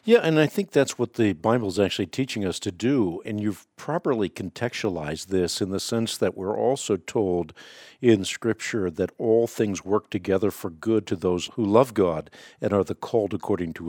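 A man whose first language is Dutch, hosting a call-in radio show and answering Bible questions in a measured, earnest way. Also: on the low side, animated, darkish tone, dry recording, clean studio sound. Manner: measured, earnest, scripture-quoting. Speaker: radio host answering callers.

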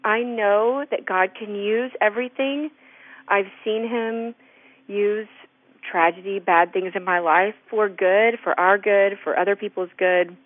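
A woman calling in to a radio show, asking a faith question in a slow, heartfelt way. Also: medium pitch, steady tone, no room sound. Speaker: caller. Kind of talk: phone-in question. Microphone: telephone. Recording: tinny phone line.

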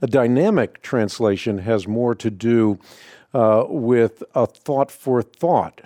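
Male call-in host, mellow and dry, measured teacher's tone. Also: mid-range voice, dry recording. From Netherlands. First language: Dutch. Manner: explanatory, measured, frequent uh fillers. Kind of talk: call-in radio Bible answers.

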